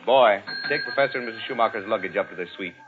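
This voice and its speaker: An actor playing a courteous, obliging hotel desk clerk in a vintage radio drama. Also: male voice, fairly low pitch, quick pace, narrow, tinny audio, crowd noise, lightly acted. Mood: polite, flattering. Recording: thin vintage radio recording.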